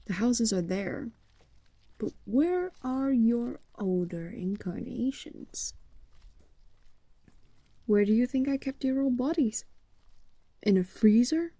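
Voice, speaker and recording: A woman voicing a possessive, doting female fox demon in an intimate roleplay audio drama, coaxing and teasing in a soft, breathy voice that drifts into whispers. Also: fairly high pitch, no room sound, full character voice.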